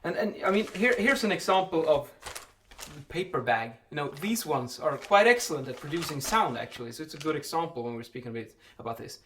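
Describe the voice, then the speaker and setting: A man with a somewhat high-pitched voice talks as a vlog host, explaining in an informal way. He thinks aloud, repeating words and correcting himself along the way. The voice sounds a little distant from the microphone. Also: sing-song, fairly quick, fairly bright tone, a little hiss or hum. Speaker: vlog host and building acoustics specialist answering a question.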